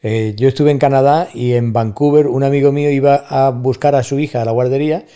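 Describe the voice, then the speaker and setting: Andalusian man telling stories, mellow voice. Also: medium pitch, fairly even intonation, fairly quick, clean tone. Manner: storytelling, thoughtful, with rhetorical asides. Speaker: guest, university staff member. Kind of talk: casual conversation on a podcast.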